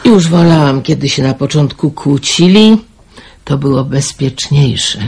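A woman's voice: deep voice, lively intonation, unhurried, gravelly, a little mellow, breathy edge, full character voice. An actress in a radio drama playing an anxious older mother, fretful and sighing as she speaks. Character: anxious older mother fretting over her daughter. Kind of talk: 1990s radio drama acting.

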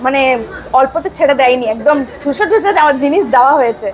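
Woman, high voice, fast enthusiastic food chat, crowded restaurant noise behind. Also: lively intonation. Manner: enthusiastic, repeats praise words. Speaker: food vlogger. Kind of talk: casual food review chat. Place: busy restaurant. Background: crowd chatter.

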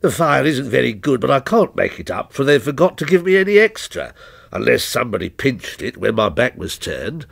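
A man's voice: mid-range voice, big pitch swings, brisk, creaky, husky, dry recording, studio quality, full character voice. A man voicing a hesitant, uneasy night watchman. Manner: hesitant, defensive.

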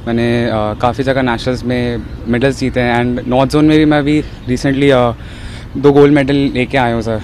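A young male athlete interviewed outdoors, pausing often with filler sounds as he answers. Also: medium pitch, little pitch movement, a little mellow, a little hiss or hum. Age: young adult. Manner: hesitant, many filler sounds. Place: outdoor stadium grounds. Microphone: handheld reporter mic.

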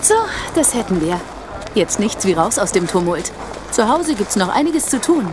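Woman playing a lively, practical mother. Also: medium pitch, normal speed, close to an everyday voice. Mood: brisk. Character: conciliatory, practical mother of a family. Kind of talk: audio drama acting. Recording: busy outdoor street noise.

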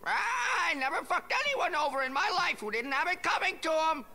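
An actor playing a brash, foul-mouthed pet parrot in a game, squawky and gravelly. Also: male voice, very high-pitched, lively intonation, normal speed, pushed and bright, full character voice. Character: brash, swearing male pet parrot with mobster lines. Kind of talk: video game cutscene acting.

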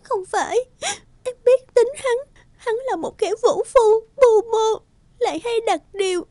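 Very high-pitched, meek young wife. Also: female voice, measured pace, dark tone, no echo. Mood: tearful. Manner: pleading, submissive. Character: meek, pleading, ill-treated young village wife. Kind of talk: animated folktale dubbing.

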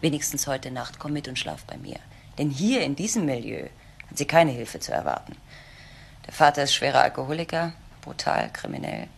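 An actress playing an earnest, idealistic social worker in a crime radio drama, protective and insistent. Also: female voice, rumbling low, very animated, moderate pace, gravelly, breathy edge, full character voice. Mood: hushed, grave.

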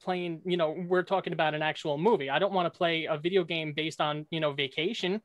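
High-voiced man debating casually. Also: fairly even intonation, brisk, a bit bright, dry recording. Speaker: debater on a podcast.

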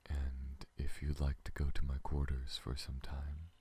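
A man voicing a tender, teasing alien in an intimate ASMR roleplay, breathy and husky, often dropping to a whisper, with hesitant pauses. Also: extremely low pitch, completely flat delivery, mellow, full character voice. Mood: flirtatious. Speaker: voice actor in a roleplay.

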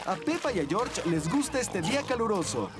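A woman's voice: mid-range voice, very animated, a little fast. A woman reading scripted narration for a children's cartoon. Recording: loud music behind the voice.

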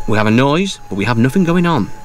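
A man's voice: medium pitch, sing-song, quick pace. A British man in his thirties casually reviewing a car while driving, talking in a rambling, chatty way. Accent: British English. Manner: chatty, rambling, hedges with "from memory". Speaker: car dealer vlogger. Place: inside a small car, driving. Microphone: camera on dashboard. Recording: car interior with clear road noise. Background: road and engine noise.

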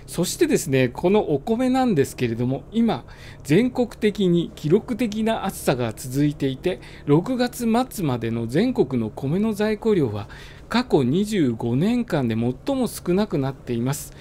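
A man talking casually to the camera while riding a motorbike, explaining calmly with many fillers, through a helmet mic. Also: a little high, a little slow, a little hiss or hum. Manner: relaxed, filler-heavy, explanatory. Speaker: motovlogger. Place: riding a motorbike on suburban roads.

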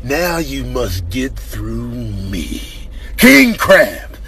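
A man's voice: medium pitch, big pitch swings, drawn-out words, over music, voice pushed down, heavily acted. A man voicing a pompous, combative little crab who issues theatrical challenges in a comic animal voiceover. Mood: pompous, challenging.

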